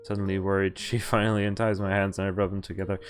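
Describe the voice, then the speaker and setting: Male streamer, low voice, reads visual novel text aloud with offhand reactions; faint music bed. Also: little pitch movement. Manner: plain reading voice broken by quick reactions and chuckles. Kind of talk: reading game text aloud with casual commentary. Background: faint game music.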